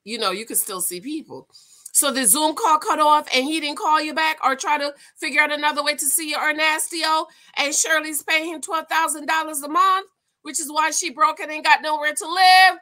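A woman with a very high, forceful voice gives informal reaction commentary. She is animated and exclamatory, dragging out key words and repeating phrases for emphasis. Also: moderate pace. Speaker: host of a commentary channel. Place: small room at home, indoors.

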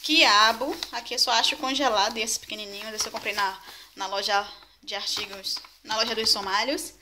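A woman's voice: medium pitch, steady tone, brisk, bright, forceful tone. A young woman from Salvador with a Bahian Brazilian accent, chatting casually and informally to a handheld camera as a vlogger. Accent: Bahian Brazilian Portuguese. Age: young adult. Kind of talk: casual vlog chat to camera. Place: at home and walking on city streets. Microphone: handheld camera at arm's length. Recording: noisy handheld recording with ambient noise.

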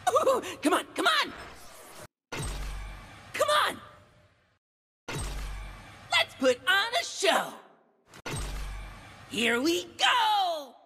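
A man voicing an excitable, childlike little dragon in a video game, playful and bubbly, with a squeaky cartoon voice. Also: drawn-out words, long pauses, shouting, a little hiss or hum, heavily acted. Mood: eager, playful. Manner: bubbly, exclamatory, wide-eyed. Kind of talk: animated video game character lines. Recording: music behind the voice.

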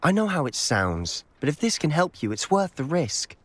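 Impassioned teenage wizard, lively pitch. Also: male voice, normal speed. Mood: persuasive. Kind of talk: video game cutscene acting.

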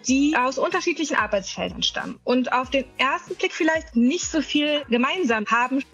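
A woman's voice: sits high, a bit bright, dull audio. A woman in her thirties hosting a show with a scripted introduction. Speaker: host.

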